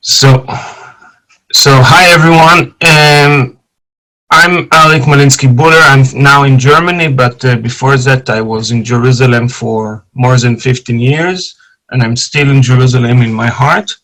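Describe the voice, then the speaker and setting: A man's voice: medium pitch, rough recording. A man with an Israeli accent giving an online archaeology lecture over a video call, eager and full of fillers and restarts. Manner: enthusiastic, fast when excited, frequent fillers and restarts.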